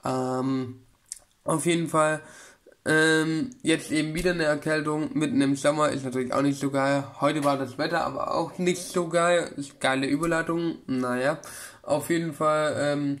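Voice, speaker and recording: A man's voice: fairly high pitch, steady tone, moderate pace, a bit bright. A man of about twenty-one chatting casually to his webcam in a small room, rambling with many fillers and restarts. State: getting over a cold. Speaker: vlogger. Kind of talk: casual chat. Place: small room with bookshelf, indoors. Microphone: webcam at desk, close.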